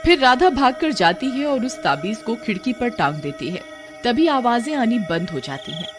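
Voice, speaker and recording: A woman's voice: a little high, sing-song, moderate pace. A woman narrating a scripted cartoon horror story as the storyteller. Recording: music behind the voice.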